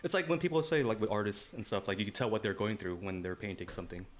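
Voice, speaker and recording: Low-voiced laid-back man chatting. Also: fast. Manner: laid-back, swears freely, heavy use of "like" and "you know". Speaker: podcast co-host. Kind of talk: casual chat.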